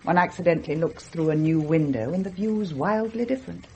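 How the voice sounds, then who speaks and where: Woman acting a low-voiced, teasing upper-class Englishwoman. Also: brisk, darkish tone, a little hiss or hum, lightly acted. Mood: brittle. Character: sensual, teasing upper-class grown daughter of the house. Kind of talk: radio drama acting.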